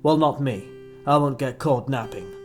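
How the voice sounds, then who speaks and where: A man's voice: mid-range voice, normal speed, a little mellow, faint music behind, natural voice. A man playing an arrogant, sardonic, brainy dealer in a comic audio drama, speaking in a theatrical, pompous way. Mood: melodramatic.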